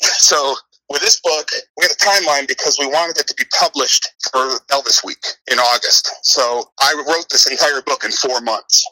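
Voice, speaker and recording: A man's voice: medium pitch, moderate pace, sharp tone, dry recording, low-quality recording. A middle-aged American man answering interview questions conversationally, rambling and repeating himself for emphasis. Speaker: interview guest, author and martial arts instructor.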